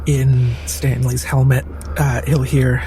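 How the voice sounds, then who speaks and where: A man playing a cautious, reassuring spaceship crewman in improvised roleplay, speaking haltingly. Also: mid-range voice, level tone, mellow, slight background noise. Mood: calm, matter-of-fact. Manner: hesitant, halting. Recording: music bed behind the voice.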